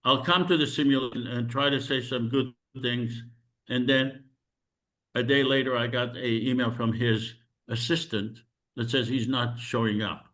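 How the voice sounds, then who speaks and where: Bright-toned earnest man organizer. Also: mid-range voice, fairly even intonation, normal speed, rough recording. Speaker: meeting organizer. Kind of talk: recounting events in an online meeting. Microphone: video call.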